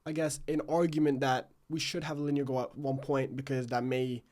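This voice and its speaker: A young man of about twenty with a mid-pitched voice hosts a casual interview. He poses his questions conversationally. His phrasing is hesitant and searching as he works toward each point. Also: level tone, fairly quick, a little mellow. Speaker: podcast host, interviewer.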